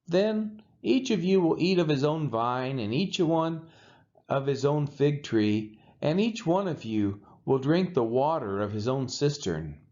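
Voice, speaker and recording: Guy reading as a cocky assyrian envoy, mocking, swinging pitch, muffled lo-fi audio. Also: sing-song, measured pace, darkish tone. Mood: taunting. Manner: boastful, mocking questions. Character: haughty male Assyrian envoy taunting a besieged city. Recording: dull lo-fi sound.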